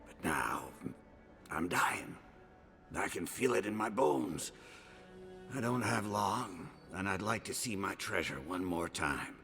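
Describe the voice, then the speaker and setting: Casting: sick old hero storyteller for fantasy game, deep and bright-edged, weary braggart. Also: male voice, lively intonation, slow pace, creaky, heavily acted. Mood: confiding, pleading. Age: older. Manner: rambling, boastful storytelling. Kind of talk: fantasy video game acting. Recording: music behind the voice.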